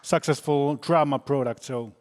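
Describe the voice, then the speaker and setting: A man's voice: mid-range voice, moderate pace. A man hosting a conference session, thanking and introducing speakers from the stage. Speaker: session host.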